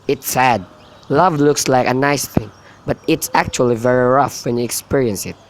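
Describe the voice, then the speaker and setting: A man's voice: mid-range voice, normal speed, lightly acted. A young man playing a concerned, sensible cousin in an amateur radio drama of modern-English Shakespeare, with birdsong behind him. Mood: concerned, curious. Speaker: student actor. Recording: faint background noise with outdoor birdsong.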